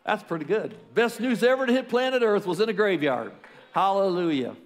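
A man's voice: sits high, expressive, a little fast. An older man with a Southern US accent, a pastor leading a fervent prayer and sermon from a large church stage at a podium mic. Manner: fervent, repeats praise phrases. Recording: long hall reverb with music.

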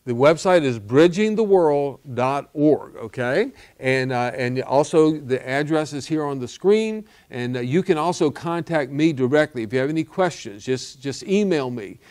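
A man's voice: a little high, lively intonation, normal speed, few pauses, darkish tone. A man in his sixties, a pastor, earnestly appealing for donations and often checking in with "okay?" and "all right?". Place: studio set with wooden wall backdrop.

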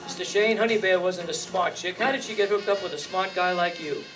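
A man's voice: high-pitched, fairly quick, over music, natural voice. A man playing a wry, streetwise detective in a 1950s radio noir drama. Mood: sardonic. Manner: wry, sardonic. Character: wisecracking, streetwise male hardboiled radio detective. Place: live stage reading in a meeting hall. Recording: distant mic in hall, faint noise.

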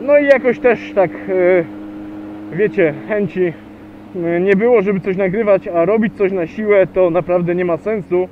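A man's voice: sits high, moderate pace. A man riding a motorcycle, chatting casually to viewers with many hesitations and fillers. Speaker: motovlogger. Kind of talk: casual riding vlog narration. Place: on a motorcycle riding on a road outdoors. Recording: tinny lo-fi helmet mic with wind and engine noise.

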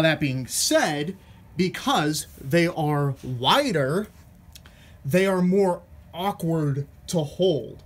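A man talking casually and informally as he explains and compares products in a home-made review. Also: a little high. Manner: informal, mildly profane. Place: carpeted room at home.

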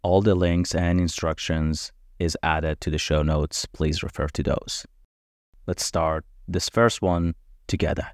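A podcast host, a man whose first language is Farsi, speaks in a very low voice. He talks in an earnest, measured, reflective way. The recording is dry and close, with no room sound. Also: little pitch movement, no room sound. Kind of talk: scripted podcast introduction.

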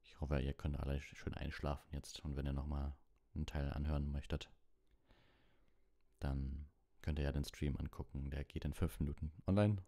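A man's voice: rumbling low, flat delivery, normal speed, dark tone, no echo, studio quality. A man reading a bedtime audiobook and hosting it as a livestream. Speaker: audiobook reader and livestream host. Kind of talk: bedtime audiobook narration and soft sign-off.

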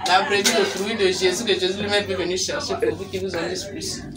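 A woman's voice: mid-range voice, flat delivery, a little fast, crowd noise. A middle-aged woman with a Congolese accent telling a personal religious testimony in a spontaneous storytelling way. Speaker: church member giving testimony. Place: small living room indoors. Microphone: camera microphone from a short distance. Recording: distant mic, other voices behind.

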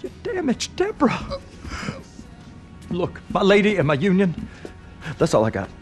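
A man's voice: high-pitched, wildly swooping pitch, measured pace, faint music behind, heavily acted. An actor playing a timid, pleading working man in a video game, stammering and hesitant. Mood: nervous pleading.

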